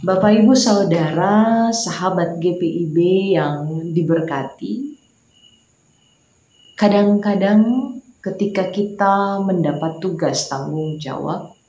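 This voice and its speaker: A woman pastor with a Makassar accent, praying and preaching a morning devotional, slow and prayerful, rising to emphatic preaching. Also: on the low side, dark tone, echoey room, slight background noise, low-quality recording.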